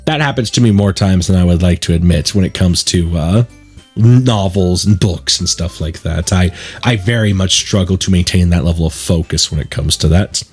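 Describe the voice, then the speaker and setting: A man's voice: low voice, moderate pace, slightly rough, faint music behind. A man giving chatty, digressive commentary while playing through a game. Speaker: let's play streamer. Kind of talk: let's play commentary mixed with reading game text aloud.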